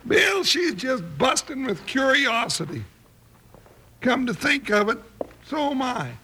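An actor playing a folksy, exclamatory comic cowboy sidekick with a Western American drawl in an old radio western. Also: male voice, very high voice, sing-song, slow pace, pauses often, pushed and bright, full character voice. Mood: puzzled, grumbling. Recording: noisy old radio recording.

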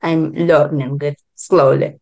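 A woman from Israel, born in Ukraine, answering interview questions in deliberate, halting, non-native phrasing. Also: deep voice, fairly even intonation, darkish tone, lo-fi sound. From born in Ukraine, grew up in Israel.

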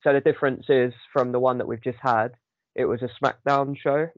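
A man's voice: medium pitch, steady tone, a little fast, dry recording, highs cut off. A man hosting a casual chat podcast with a sore throat, rambling with many ums. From United Kingdom. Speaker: podcast host.

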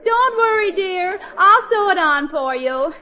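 A woman's voice: extremely high pitch, animated, measured pace, a crowd in the background. An actress playing a dutiful, placating housewife calling out to her husband in a 1940s radio comedy. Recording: muffled, hissy 1940s radio recording.

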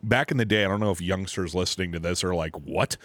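A man's voice: deep voice, fast, fairly bright tone, no echo. A man in his thirties co-hosting a podcast, talking in casual, profane banter and laughing often. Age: 30s. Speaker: podcast co-host.

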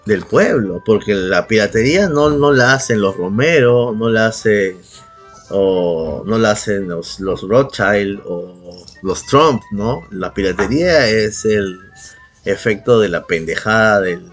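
A man's voice: fairly low pitch, lively intonation, slow. A man with a Peruvian Spanish accent chatting casually as a podcast co-host, opinionated, slangy and heavily profane. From Peru. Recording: music playing behind the voice. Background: background music.

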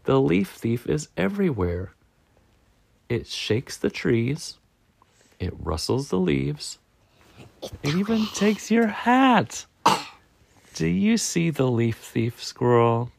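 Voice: medium pitch, big pitch swings, slow, creaky, long pauses, a little mellow, slight background noise, pitched above the performer's own voice, full character voice. A reader voicing a sensible, patient bird from a picture book, reassuring and explanatory. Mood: patient, explaining. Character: sensible, patient bird calming a worried friend. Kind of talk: picture-book read-aloud.

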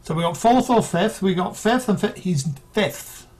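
A man's voice: high voice, moderate pace, a little mellow. A man co-hosting a casual chat, putting basketball questions to the others in a matter-of-fact way. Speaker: podcast co-host. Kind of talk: casual chat, sports quiz.

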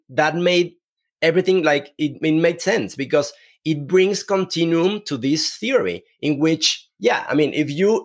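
A man from Mexico with a Mexican accent, a podcast guest who talks haltingly with many restarts. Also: on the high side. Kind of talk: conversational interview.

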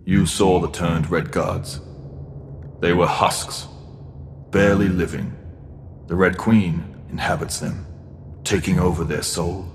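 A grave, cryptic mentor in sci-fi audio fiction, with a very low voice. He admonishes sternly and deliberately, ringing in a long reverb. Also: fairly even intonation. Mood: ominous, instructive. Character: stern, cryptic mentor figure guarding a teenage boy. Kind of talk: audio drama acting. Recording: long hall reverb, clear background noise.

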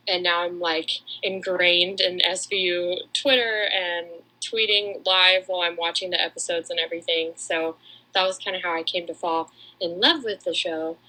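A woman co-hosts a podcast in loose, casual conversation. Her voice is very bright and forward, sitting slightly lower than most women's. She is chatty and slightly self-deprecating in how she talks. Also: fairly even intonation, normal speed, poor audio. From Atlanta, United States. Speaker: podcast co-host. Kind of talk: casual chat.

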